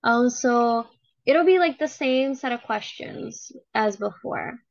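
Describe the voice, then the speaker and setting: A woman's voice: fairly high pitch, expressive, slow, gravelly, no echo. A young woman interviewing a family member for a class project, friendly and encouraging, often saying "like". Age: young adult. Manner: friendly and encouraging, many "like" fillers. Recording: slightly dull, lo-fi audio.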